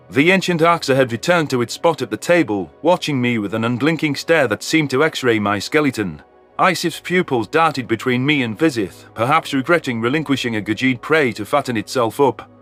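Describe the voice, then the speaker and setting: A man's voice: medium pitch, a bit bright. A man reading scripted first-person story narration. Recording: music under the voice. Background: music.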